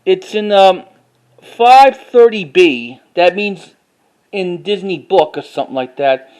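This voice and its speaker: A man with a distinctly high-pitched voice presents collected items in a casual vlog style. His delivery is informal and halting, and he often repeats phrases as he moves through his show-and-tell. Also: expressive, darkish tone. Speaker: collector showing items to camera.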